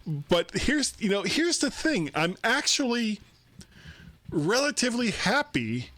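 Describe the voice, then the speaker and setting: An older man talks in a rambling, chatty way, his voice sitting a little high for a man. He is close on a studio microphone in a dry home room, with almost no room sound behind him. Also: sing-song, a little slow, fairly bright tone, no room sound. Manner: rambling, chatty, laughs easily. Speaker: podcast host. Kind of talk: casual tech chat on a video call. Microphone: studio microphone on a boom.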